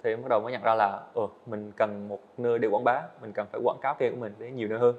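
Fast-talking earnest eighteen-year-old man. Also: on the low side, fairly even intonation, phone-quality sound. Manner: earnest, storytelling, answers politely with "dạ vâng". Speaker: guest, animator. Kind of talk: podcast interview. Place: indoor studio set.

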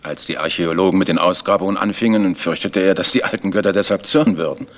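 A man's voice: low voice, quick pace, a bit bright. An actor playing a defensive, resigned village churchman in a German radio crime drama, explaining himself emphatically.

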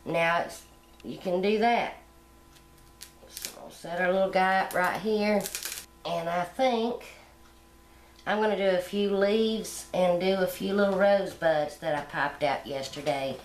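A middle-aged woman hosting a cooking channel, explaining step by step how to decorate a sugar egg at home in a relaxed, homey way. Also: fairly low pitch, a little slow, reverberant, slight background noise. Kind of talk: hands-on decorating tutorial.

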